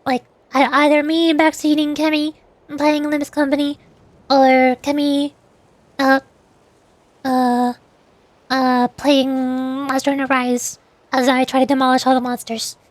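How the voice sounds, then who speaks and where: A woman streamer chatting casually while gaming, in a halting way that often repeats words. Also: high-pitched, flat delivery, no room sound. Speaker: VTuber streamer.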